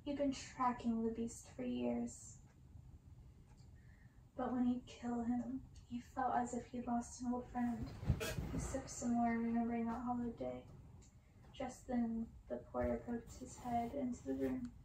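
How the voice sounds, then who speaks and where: Creaky-voiced woman reading softly in ASMR style. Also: a little high, little pitch movement, a little slow, echoey room, a little hiss or hum, low-quality recording. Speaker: storyteller.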